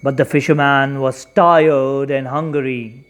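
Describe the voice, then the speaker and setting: A storyteller narrating a children's folktale expressively, with deliberate, dramatic emphasis. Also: mid-range voice, steady tone.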